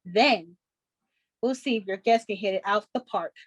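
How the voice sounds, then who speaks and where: A woman's voice: medium pitch, moderate pace, no room sound, natural voice. A woman playing a chipper 1940s radio show host, giving scripted announcements in a showy, presentational way. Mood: showy, upbeat.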